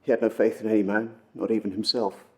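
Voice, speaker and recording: A man acting a dramatic monologue as an earnest, wistful storyteller recounting an old legend, with intense pauses and swells. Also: on the low side, little pitch movement, darkish tone, lightly acted. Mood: grave, sorrowful. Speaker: amateur actor performing a film monologue.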